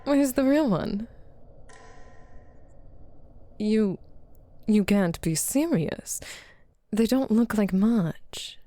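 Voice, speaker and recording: Haughty female demon buyer , voiced by a woman, ASMR roleplay. Mellow, dark tone, slow delivery, commanding and disdainful. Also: medium pitch, expressive, no room sound. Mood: mocking disbelief. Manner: stern, authoritative, condescending. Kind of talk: scripted ASMR roleplay, addressing unheard partners.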